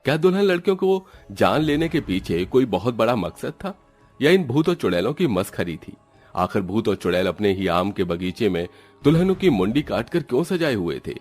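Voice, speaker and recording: A man's voice: on the low side, very animated, moderate pace, darkish tone, faint music behind. A man narrating a scripted cartoon horror story as the storyteller.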